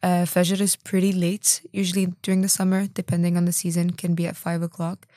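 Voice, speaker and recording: A woman's voice: lowish voice, monotone, a little fast, warm tone, no room sound, studio quality. A young woman from France, a student guest, explaining things in a casual discussion and often restarting her sentences. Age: young adult.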